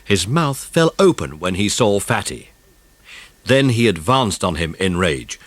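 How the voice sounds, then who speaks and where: A man narrating a children's mystery audiobook in a suspenseful, expressive way. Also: low-pitched, unhurried, no room sound.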